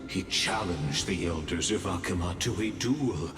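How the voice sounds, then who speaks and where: An actor playing a grave male chronicler, narrating a game story in a measured, solemn way. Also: sits low. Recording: echoey hall with game music.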